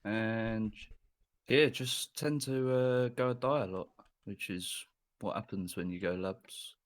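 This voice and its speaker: A man's voice: lowish voice, slow pace, dry recording. A man from London, a podcast guest, who talks tersely with dry self-mockery. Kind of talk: casual podcast chat.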